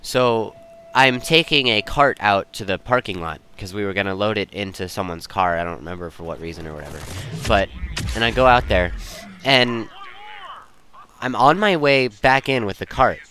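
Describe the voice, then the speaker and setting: A man's voice: lowish voice, moderate pace, pushed and bright. A young man telling personal stories in a casual, rambling way, often interrupting himself to second-guess his wording. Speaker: storyteller.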